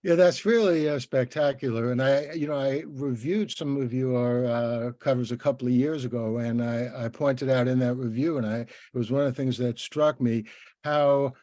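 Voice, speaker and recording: An older man with a soft, mellow voice hosts a conversational interview. He speaks in a halting way, often doubling back on words as he forms a question. The sound is dry and close, with very little room in it. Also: mid-range voice, no room sound. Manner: hesitant, stammering with repeated words.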